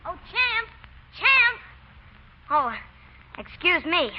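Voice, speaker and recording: An excitable young fellow, voiced by a woman, full of slang and glee. The voice is high and leaps all over. It comes through a dull, lo-fi radio broadcast. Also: extremely slow, heavily acted. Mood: gleeful. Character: excitable gleeful young fellow named Willie. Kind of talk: 1940s radio acting.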